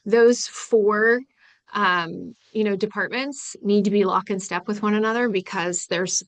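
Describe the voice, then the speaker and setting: A woman's voice: medium pitch, steady tone, a little slow. A woman presenting in a business webinar, stressing and repeating her key points. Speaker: webinar presenter, company founder.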